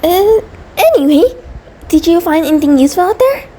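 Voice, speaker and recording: A woman's voice: very high-pitched, big pitch swings, moderate pace, dark tone. A woman playing an anxious, affectionate female centipede monster, nervous, stammering and apologetic, in an intimate roleplay audio drama. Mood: flustered, relieved.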